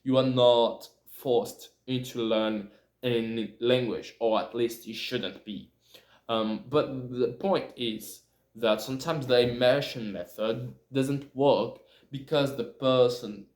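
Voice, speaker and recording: A man from Brazil with a Brazilian accent, a native Portuguese speaker, talking casually in English and often halting to correct himself. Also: medium pitch, darkish tone. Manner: halting, self-correcting, searching for words. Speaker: video creator. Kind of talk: casual talk to camera about language learning. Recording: echoey room.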